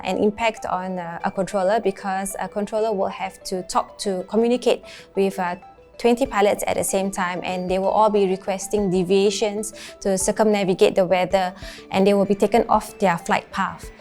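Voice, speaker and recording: A woman's voice: medium pitch, a little mellow. Young Singaporean woman explaining her job, music behind. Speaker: air traffic controller, interviewee. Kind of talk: explanatory interview to camera.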